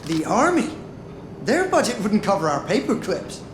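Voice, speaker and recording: A man's voice: high-pitched, wildly swooping pitch, normal speed, noisy background. A man playing a cocky, wisecracking secret agent with a swaggering delivery in a video game. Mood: breezy, joking. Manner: jokey, swaggering. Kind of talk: video game cutscene acting. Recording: echoey, music behind.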